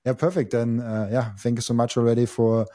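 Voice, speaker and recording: A man's voice: mid-range voice, level tone, brisk, warm tone, dry recording, studio quality. A young man, hosting a podcast interview and hesitating often, with many fillers and restarts. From Austria. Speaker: podcast host. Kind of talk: casual interview. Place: home studio. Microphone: boom mic on arm.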